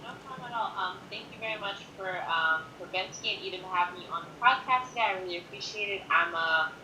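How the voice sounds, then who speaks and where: A woman's voice: mid-range voice, fast. A woman in her twenties from Haiti who grew up in New York City, speaking earnestly as a podcast guest and repeating herself for emphasis. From born in Haiti, grew up in New York City from age five. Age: 20s. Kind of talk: conversational interview, personal account. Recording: roomy echo with faint background noise.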